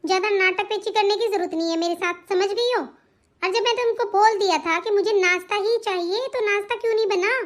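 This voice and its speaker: Woman voicing a scolding, extremely high-pitched daughter-in-law. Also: fairly quick. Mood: scornful, angry. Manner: scolding, bossy. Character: domineering, scornful young daughter-in-law. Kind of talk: Hindi family cartoon drama dialogue.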